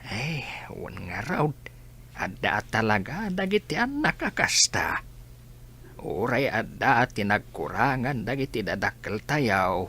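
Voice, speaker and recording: A man acting a concerned, exasperated older village uncle in an Ilocano radio drama, with a husky voice and a Filipino accent. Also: fairly low pitch, big pitch swings, slow, bright, forceful tone, slight background noise, heavily acted. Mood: exasperated, worried.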